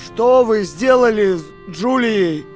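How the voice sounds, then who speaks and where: A weakened prisoner in an audio play, high-pitched for a man. He speaks slowly and haltingly, earnest and stubbornly reasoning. Soft music sits behind his words. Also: animated. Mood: anxious, probing. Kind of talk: dramatic audio play acting.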